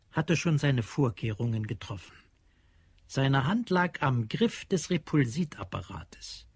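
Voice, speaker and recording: A man's voice: on the high side, darkish tone, breathy edge, no echo. A man reading scripted literary narration for an audio drama.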